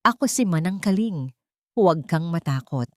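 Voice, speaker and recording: Gentle elderly nanny, voiced by a woman storyteller. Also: lowish voice, animated, dry recording, clean studio sound, natural voice. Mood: soothing, tender. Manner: soft, soothing, unhurried.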